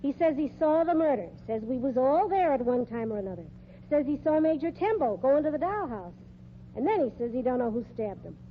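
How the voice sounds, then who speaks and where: An actress playing a shrewd, fearless circus sideshow woman in a forceful, tough-talking 1940s radio mystery style, on a muffled old recording. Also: sits high, expressive, brisk, slight background noise. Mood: insistent.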